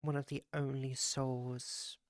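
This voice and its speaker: A live streamer with a raspy, groggy morning voice, chatting casually with viewers and rambling with many fillers and restarts. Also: medium pitch, level tone, moderate pace, no echo, clean studio sound.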